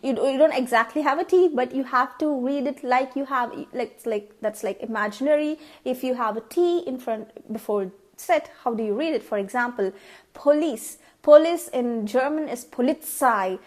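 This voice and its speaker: A young Indian woman with a high, bright voice, chatting casually through a vlog. She speaks English with an Indian accent, since her first language is Tamil. She repeats herself often and pauses to sound out words to show how they should be said. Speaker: vlogger.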